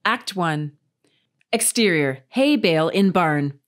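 A woman's voice: on the low side, lively intonation, slow. A woman reading screenplay stage directions as scripted narration.

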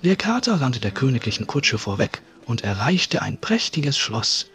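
A man's voice: mid-range voice, sing-song, moderate pace, a bit bright, slightly rough. A man narrating a scripted fairy tale for a podcast. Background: music.

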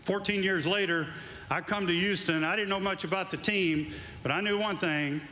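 Middle-aged guy at an awards stage, bright voice bouncing around the pa, faint crowd hum behind. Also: high-pitched, fairly even intonation, normal speed, roomy sound. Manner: folksy storytelling, building to emphatic tribute. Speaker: former coach presenting an award. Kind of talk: induction speech with stories. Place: outdoor stage at an evening awards event. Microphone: handheld mic.

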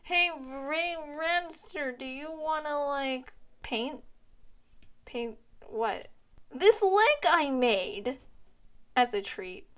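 A young woman doing casual, comedic character dialogue for an amateur animation, with a slangy, silly, halting delivery. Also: high voice, expressive, fairly bright tone. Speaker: creator and voice actor of an animated web series.

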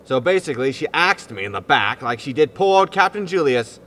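A man's voice: mid-range voice, big pitch swings, a little fast, fairly bright tone, slight background noise. A man playing a defensive, exasperated disgraced spaceship captain, indignant and grumbling, in a sci-fi comedy audio drama. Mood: grumbling, resigned.

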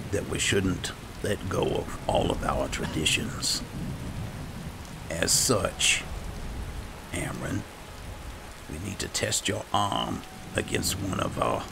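A man with a halting, low-key, informal way of talking, improvising in character as he hosts a roleplay gameplay session. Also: expressive, creaky, noisy background. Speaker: gaming host, roleplaying as a guild leader.

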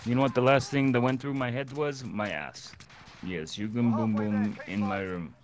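A man doing a bragging mock-Russian gamer who speaks of himself in the third person in broken English. The voice sits lowish, and the chat has gunfire and game noise clearly behind it. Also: fairly even intonation, normal speed, natural voice. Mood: boastful. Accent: put-on Russian.

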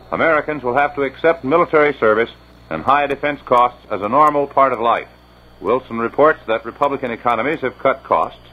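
Old-time radio news guy, formal and steady, a bit husky, dull muffled recording. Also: mid-range voice. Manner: measured, formal delivery. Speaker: newscaster. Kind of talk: 1950s radio news reading.

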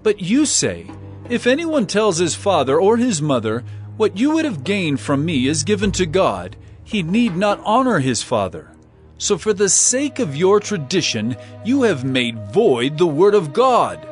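A fairly high-voiced, authoritative male teacher in scripture drama, declaiming with lively, swinging pitch and emphatic reproof. Soft music plays behind him. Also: unhurried. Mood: stern reproach.

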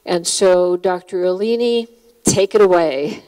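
A woman's voice: lowish voice, unhurried, dark tone, smooth. A woman on a stage with a handheld mic, introducing a speaker to an audience in a large hall, casual and with a few fillers. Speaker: event host introducing speaker. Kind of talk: spoken introduction. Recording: long hall reverb.

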